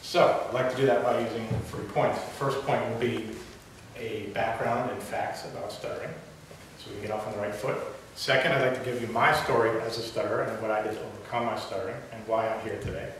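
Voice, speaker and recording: A man's voice: fairly low pitch, steady tone, fairly quick, a bit bright. A young man giving a prepared speech to a club audience, with occasional stutters and restarts. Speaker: club speaker. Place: meeting room. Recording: echoey room from far off, hiss.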